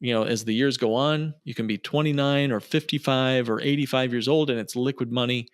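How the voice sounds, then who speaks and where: A man explains things in a conversational, persuasive way as an expert podcast guest. His pitch is middling and he leans on repetition for emphasis. The sound is very dry, with little room in it. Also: fairly even intonation, brisk, smooth, no room sound. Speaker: financial planner, podcast guest.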